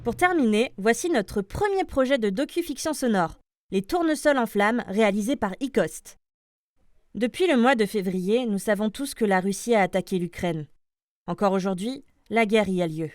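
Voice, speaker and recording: A woman's voice: medium pitch, animated, moderate pace, dry recording, studio quality. A woman reading scripted podcast news as presenter, addressing listeners like an announcer. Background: light music.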